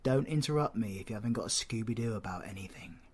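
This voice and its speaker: A British man playing a cocky, menacing, flirtatious supervillain in an audio roleplay, husky and sardonic, often dropping to breathy whispers. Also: lowish voice, fast. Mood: dismissive, threatening. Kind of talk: scripted audio roleplay, ASMR drama.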